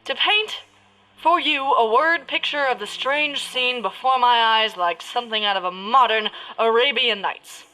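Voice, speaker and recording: Female, high and sharp, performing a bossy, theatrical news reporter for a radio parody. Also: measured pace, poor audio. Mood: self-important.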